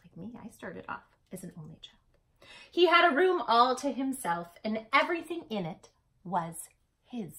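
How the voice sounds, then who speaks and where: A woman's voice: a little high, very animated, moderate pace, fairly bright tone. A woman hosting a read-aloud for young children, speaking affectionately and expressively, with emphatic storyteller phrasing addressed straight to the listener.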